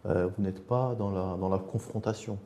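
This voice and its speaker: Low, mellow-voiced male interviewer. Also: steady tone, normal speed. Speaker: interviewer, host. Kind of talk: conversational interview.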